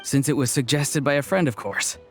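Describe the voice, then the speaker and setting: A man's voice: mid-range voice, a little fast, lightly acted. A man playing an earnest, committed young adventurer in a video game, matter-of-fact and sincere. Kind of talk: animated video game acting. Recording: faint game music under the voice.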